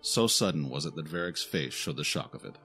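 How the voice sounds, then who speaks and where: A man narrating a scripted fantasy audio drama in a solemn, deliberate way. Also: extremely low pitch, animated, sharp tone. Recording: faint music behind the voice.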